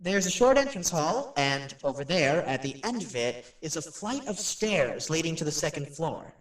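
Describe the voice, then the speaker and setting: A skeptical radio personality touring a haunted house in a radio play. His voice is fairly high and very animated, with chatty, hesitant on-the-spot narration. It sounds echoey, as if recorded in an open room. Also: moderate pace, recorded from a distance, background noise. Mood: impatient, narrating. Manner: chatty, narrates what he sees, hesitant asides. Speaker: radio host. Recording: roomy echo, off the microphone.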